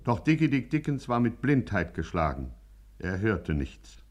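An actor playing a solemn, portentous narrator of a radio crime satire. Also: male voice, lowish voice, very animated, highs cut off, loud music behind the voice. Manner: deliberate, portentous. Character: solemn, mock-ominous crime story narrator. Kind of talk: scripted 1950s German radio narration.

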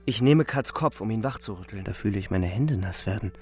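An actor playing a shaken, stubbornly loyal young soldier who narrates and speaks in a radio drama. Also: male voice, fairly low pitch, animated, a little fast, darkish tone, husky. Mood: disbelieving, agitated. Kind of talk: radio drama, first-person narration and dialogue. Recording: music behind the voice.

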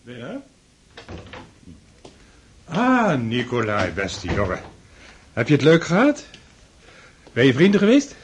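An actor in a radio drama playing a fond, faltering older count, an indulgent father with a husky voice. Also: medium pitch, huge pitch swings, takes it slowly, pauses often, a little hiss or hum. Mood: jovial.

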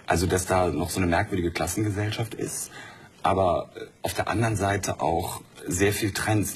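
Deep-voiced man chatting reflectively. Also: slight background noise. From Germany and Norway. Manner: chatty, reflective, many fillers. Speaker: studio guest. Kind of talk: casual conversation.

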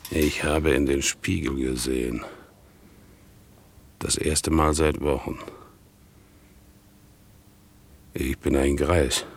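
An actor playing a worn, bitter, defiant old war veteran in a German radio play, speaking in a brooding, deliberate way. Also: male voice, extremely low pitch, exaggerated voice. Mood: weary, defiant. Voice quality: gravelly, husky. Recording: 1960s radio play recording.

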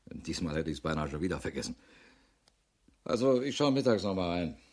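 An actor playing a harried, middle-aged police investigator in a radio drama, matter-of-fact and dry. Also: male voice, deep voice, big pitch swings, fairly quick, darkish tone, heavily acted. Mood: harried, grumbling. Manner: matter-of-fact, dry, clipped official phrasing. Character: harried, world-weary male police investigator with a wife. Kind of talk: German radio drama acting, dictated report.

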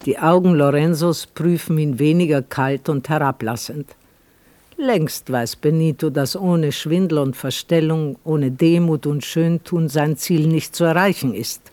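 Higher-pitched male radio play narrator. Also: measured pace, darkish tone, no echo.

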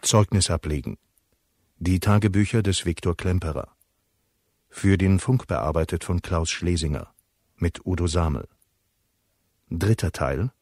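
Ein Mann, der förmlich Titel und Mitwirkende eines Hörspiels ansagt. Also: male voice, low voice, a little slow, pauses often, darkish tone, no echo. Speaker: radio play announcer. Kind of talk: formal scripted title announcement.

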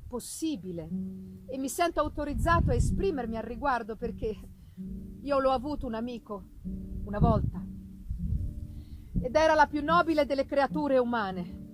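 A woman voicing a sorrowful, noble male stranger in a grave, formal, measured dramatic reading of a gothic novel. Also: high-pitched, lightly acted, rough recording.

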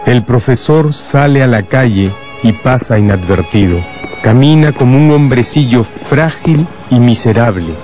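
A man's voice: medium pitch, measured pace, a little mellow. A man narrating a literary radio drama from a script. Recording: faint music behind the voice.

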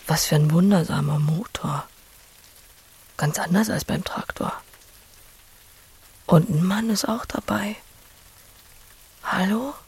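A woman's voice: lowish voice, takes it slowly, pauses often, darkish tone, slightly rough, exaggerated voice. An actress playing a lonely, earthy farmer woman, blunt yet tender, murmuring half to herself in an audio drama. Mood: curious. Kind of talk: audio drama acting, murmured monologue and dialogue.

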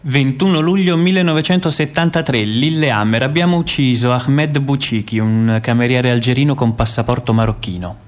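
A man played by an actor plays a trainer of assassins. His radio-drama delivery is cool, measured and didactic, every detail methodical. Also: medium pitch, natural voice. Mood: cold, factual. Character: cool, methodical male weapons instructor of assassins. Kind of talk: dramatic radio theatre, instructional monologue.

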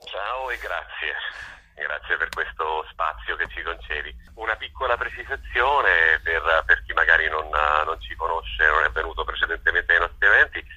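A man being interviewed as an event organizer, speaking unscripted and hesitantly, often pausing to search for words. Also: a bass voice, pushed and bright, breathy edge, muffled recording.

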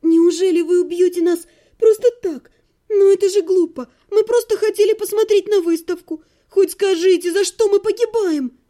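A woman narrator plays a young female magic student in a fantasy audiobook, with a very high voice. She is feisty, impassioned and dramatic, swinging between pleading and defiance. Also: lively intonation, normal speed, hardly any pauses, dark tone.

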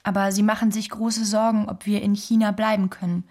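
A woman playing a composed, strong-minded Chinese wife, reminiscing in measured, simple phrases in a documentary radio play. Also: mid-range voice, little pitch movement, darkish tone, natural voice. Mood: fond, earnest.

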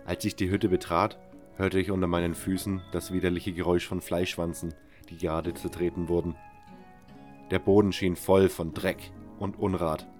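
Man as low-voiced brooding convict narrator, music underneath. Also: darkish tone, close to an everyday voice. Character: nameless male convict narrator, wary, stubborn, brooding. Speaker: first-person narrator. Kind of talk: scripted fan audio drama narration.

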